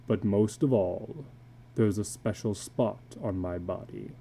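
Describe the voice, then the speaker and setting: A man voicing a weary, bitter older tattooed carnival man in a slow, grave, brooding dramatic reading of a story. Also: on the low side, creaky, warm tone, slight background noise, pitched below the performer's own voice. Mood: grim, brooding.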